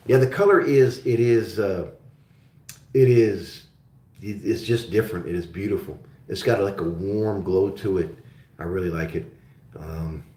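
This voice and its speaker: A man's voice: on the low side, darkish tone, reverberant. A man hosting a casual livestream, chatting and reading viewer questions aloud as they come in. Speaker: livestream host. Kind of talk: casual live Q&A chat.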